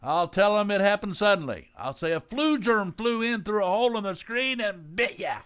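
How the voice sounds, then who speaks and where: Man playing scheming working-class dad, high-pitched, swooping, flustered, exclamatory, old-time radio comedy. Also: animated, moderate pace, fairly bright tone, clean tone. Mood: conniving, reassuring. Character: blustering, scheming, hapless working-class father and husband. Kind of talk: 1940s radio comedy acting.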